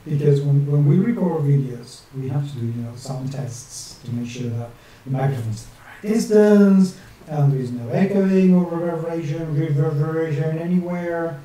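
A man's voice: on the high side, normal speed, dark tone. A man in his forties with a non-native English accent showing some northern English influence, chatting and joking to himself while he draws a map on screen at his desk at home. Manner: chatty, talks to himself, jokey asides. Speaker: map illustrator and channel host. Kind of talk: rambling chat while drawing on screen. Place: small home room at a desk with a webcam. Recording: very echoey room, distant mic, faint hiss.

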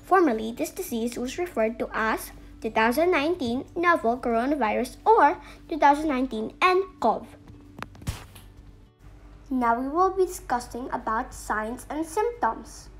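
Woman as a slow-talking, calm lady doctor puppet. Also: sits high, faint music behind. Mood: instructive. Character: female doctor puppet, calm, reassuring, instructive. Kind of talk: children's educational puppet show, scripted explanation.

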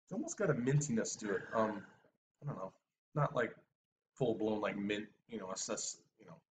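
A man's voice: fairly low pitch, animated, moderate pace. Easygoing middle-aged guy, kinda stuffed up, mic far away, faint hiss. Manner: easygoing, laughs often. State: stuffy nose. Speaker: beer review co-host. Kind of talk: casual chat. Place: small room with shelves of beer bottles. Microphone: webcam recording from a distance. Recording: distant webcam sound with faint hiss.

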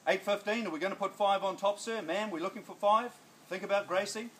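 Brisk New Zealand auctioneer calling bids. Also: male voice, high voice, fairly bright tone, roomy sound, a little hiss or hum. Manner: brisk, coaxing, repeats the bid in a chant. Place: sunny backyard outdoors. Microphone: phone camera at a distance.

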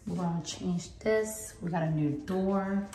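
Female vlogger, lowish voice, mellow and unhurried, gushing casual tour delivery in an echoey bathroom. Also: very noisy. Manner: gushing, drawn-out emphasis. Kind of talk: casual home renovation tour. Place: small tiled bathroom indoors, being remodelled. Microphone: handheld phone behind the camera.

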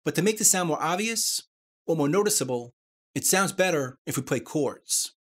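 A man presenting a software tutorial, explaining things step by step. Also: fairly high pitch, expressive, moderate pace.

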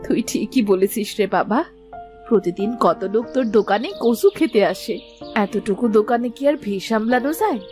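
A woman's voice: mid-range voice, normal speed, a little mellow, faint music behind, close to an everyday voice. A woman voicing an affectionate mother of a village boy in a cartoon tale. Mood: encouraging.

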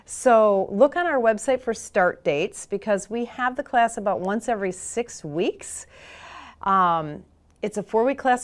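A middle-aged woman from Michigan hosts a photography show in a studio, talking into a lapel mic. Her delivery is chatty and upbeat, turning salesy when she promotes her course. Her voice sits at an ordinary middle pitch. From Michigan, USA. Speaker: podcast host and photography teacher. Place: studio with dark backdrop.

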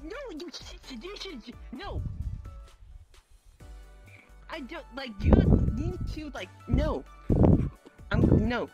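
High-voiced, flustered woman over music. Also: very animated, slow pace, pauses often. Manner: insistent, repetitive, flustered. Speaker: roleplayer. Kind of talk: improvised virtual reality roleplay. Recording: music behind the voice. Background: clear background music.

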